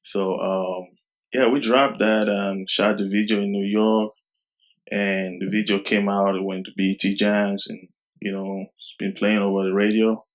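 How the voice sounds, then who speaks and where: A young man from Cameroon, raised in Germany, a rapper answering interview questions in a soft, halting way with many fillers. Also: fairly low pitch, flat delivery. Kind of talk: casual interview talk.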